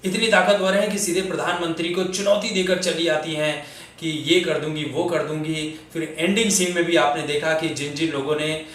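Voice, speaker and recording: A man's voice: fairly high pitch, fairly even intonation, normal speed, a bit bright. A man giving opinionated commentary, addressing listeners directly with rhetorical questions in an indignant, sarcastic manner. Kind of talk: opinionated film and political commentary to camera. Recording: very echoey room, distant microphone.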